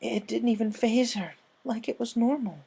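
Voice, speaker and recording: A traumatized young pegasus mare from a pony storybook, acted out by a man. The voice is lifted high and soft-toned, halting and tearful, as if barely holding together. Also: vocal fry, husky, full character voice. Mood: shaken, haunted. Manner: halting, sobbing, stammering delivery.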